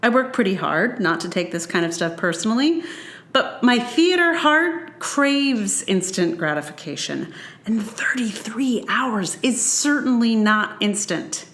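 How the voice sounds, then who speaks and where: A woman podcast host reading her own personal essay aloud with measured, deliberate phrasing. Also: on the high side, animated, a bit bright, reverberant.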